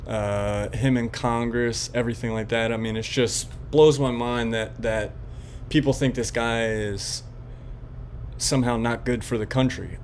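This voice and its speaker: A man hosting a podcast, giving casual political commentary in an informal, slangy, halting way. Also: mid-range voice, a little hiss or hum. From Florida resident of Puerto Rican background. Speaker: podcast host.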